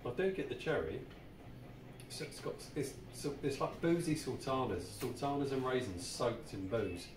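A man's voice: mid-range voice, animated, normal speed. British man chatting in a noisy, echoey room. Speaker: host, beer reviewer. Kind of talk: casual chatty beer review. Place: small home bar room. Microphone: camera a short distance away. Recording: roomy, distant mic with background noise.

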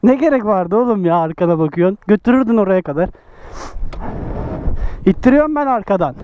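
A man's voice: sits high, lively intonation, brisk. Joking young man on a windy beach. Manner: joking, bossy, swears freely, laughs often. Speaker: motovlogger. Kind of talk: casual chat with friends. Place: open beach at dawn. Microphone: action camera worn on the body. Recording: dull lo-fi action-cam audio with loud wind noise.

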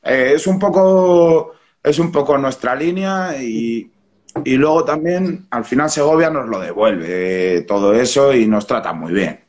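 A man in his thirties with a Spanish accent, speaking at a middle pitch over a video call from a small room at home. His talk is relaxed and rambling, full of restarts and fillers as he explains his brewery. The laptop mic gives it a plain home-call sound. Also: measured pace, muffled recording. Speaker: brewer and brewery founder, guest. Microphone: webcam or laptop mic on a video call.

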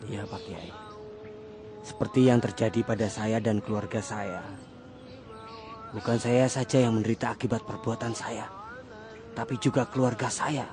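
An actor playing a soft-spoken former militant man who has come back from prison humbled and repentant, in a radio drama. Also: lowish voice, fairly even intonation, normal speed, long pauses, over music, close to an everyday voice. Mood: remorseful. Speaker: villager returned from prison.

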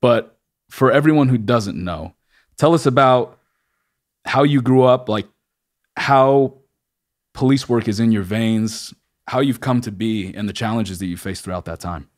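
Podcast host, man from Los Angeles, mid-pitched, easygoing, upbeat, slangy. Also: measured pace, pauses often. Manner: laid-back, enthusiastic, casual slang. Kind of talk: casual podcast interview.

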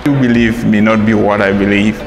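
A man with a low, gravelly voice gives measured, formal interview answers. Music plays clearly behind him. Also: level tone, low-quality recording. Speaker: hall monitor. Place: room with brick wall, indoors.

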